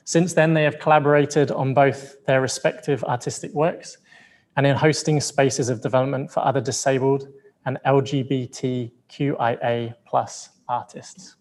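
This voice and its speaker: A man's voice: medium pitch, fairly even intonation, moderate pace. Man reading a formal introduction. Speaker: event presenter introducing speakers. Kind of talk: read introduction.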